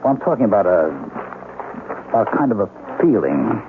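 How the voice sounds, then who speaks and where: A weary, worried young American husband. His lowish voice moves expressively, hesitant and pleading. The sound is narrow and faintly noisy, like old radio. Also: sing-song, normal speed. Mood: uneasy, hesitant. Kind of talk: old-time radio drama acting. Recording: thin band-limited noisy radio recording.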